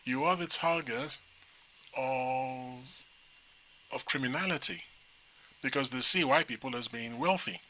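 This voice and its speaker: Nigerian-born guy co-hosting a chat show, super bright voice, rambly and lively. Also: medium pitch, a little slow, long pauses, low-quality recording. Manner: rambling, animated storyteller with restarts. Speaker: talk show co-host. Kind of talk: casual chat.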